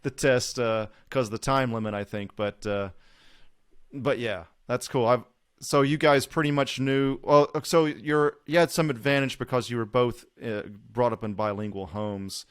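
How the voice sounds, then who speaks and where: A man with a mid-pitched, ordinary voice hosting a casual interview. He talks in a chatty, self-deprecating way and laughs easily. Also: steady tone, moderate pace, clear, resonant tone.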